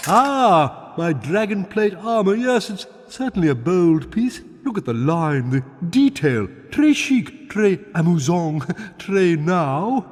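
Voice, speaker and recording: High-pitched, pompous camp dragon. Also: male voice, big pitch swings, slow, exaggerated voice. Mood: preening. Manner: haughty, affected, theatrical drawl. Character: pompous, snobbish, camp, aesthete dragon weary of questers. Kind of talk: video game cutscene acting. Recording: long cavernous reverb.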